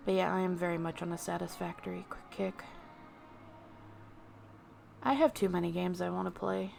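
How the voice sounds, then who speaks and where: A woman's voice: fairly low pitch, fairly even intonation, normal speed, gravelly, darkish tone. A woman livestreamer chatting casually to viewers in a rambling, self-deprecating way, with music behind her. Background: background music.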